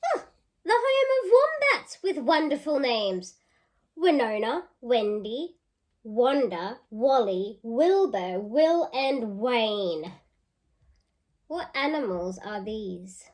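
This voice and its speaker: A woman reads a storybook as a teacher would for young children. Her voice is fairly high and she takes her time, reading expressively and stopping to ask the listeners questions. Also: very animated, takes it slowly, a little mellow. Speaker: teacher reading a picture book aloud. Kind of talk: children's read-aloud with questions.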